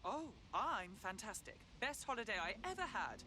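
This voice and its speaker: A wry woman operative from a video game. Her medium-pitched voice moves in lively swings, dry and plucky, over faint background noise. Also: normal speed. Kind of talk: video game cutscene acting.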